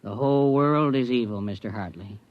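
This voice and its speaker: Actor as a meek, pious fanatic, muffled recording. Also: male voice, medium pitch, normal speed, dry recording, close to an everyday voice. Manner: hesitant, apologetic, formal. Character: meek, pious, unstable neighbour man, a religious fanatic. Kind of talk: 1950s radio drama acting. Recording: muffled vintage radio broadcast recording.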